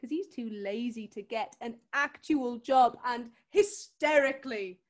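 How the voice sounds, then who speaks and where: An actress plays a determined British woman in a stage drama, worried for her sister. Her voice sits high. She presses insistently and has a scornful edge. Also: measured pace, close to an everyday voice. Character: worried, determined sister confronting a drug dealer. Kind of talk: acted scene from a drama showcase.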